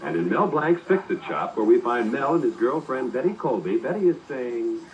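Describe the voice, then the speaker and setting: A man's voice: mid-range voice, big pitch swings, normal speed, a little hiss or hum. A man announcing and narrating a 1940s radio show from a script with showy, emphatic delivery. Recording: muffled old radio recording with music.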